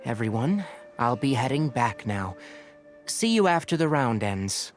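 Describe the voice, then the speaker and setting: A man voicing a level-headed young scholar in an anime-style video game, articulate, matter-of-fact and gently chiding. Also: mid-range voice, big pitch swings, unhurried, soft background music. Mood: exasperated.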